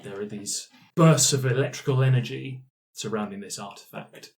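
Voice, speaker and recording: A man's voice: mid-range voice, normal speed, slight background noise. Male game master, middle register, narrating a tabletop fantasy adventure.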